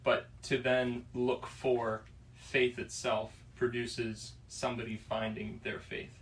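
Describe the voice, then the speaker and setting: A man in his twenties from Florida, musing slowly on faith and choice in a casual discussion. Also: mid-range voice, level tone, a bit bright. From Florida, USA. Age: 20s. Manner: slow, reflective, pauses mid-thought. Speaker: podcast guest. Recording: distant mic, faint room noise.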